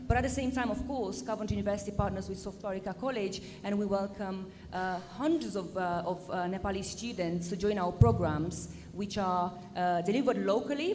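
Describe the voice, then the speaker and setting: A woman who works in university recruitment, answering a panel question in a measured way with frequent fillers. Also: medium pitch, a little mellow, a little hiss or hum. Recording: reverberant hall.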